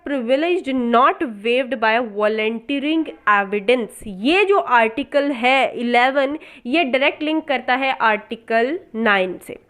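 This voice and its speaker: A woman's voice: high-pitched, fairly bright tone, smooth. A woman giving an explanatory law lesson in a didactic way, asking and answering her own questions and mixing in English terms. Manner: code-switches into English terms. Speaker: law lecturer.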